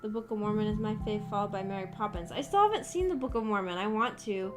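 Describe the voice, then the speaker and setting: A woman's voice: mid-range voice, quick pace. Casual woman chatting over background music. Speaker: livestream host chatting with viewers. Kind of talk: casual livestream chat.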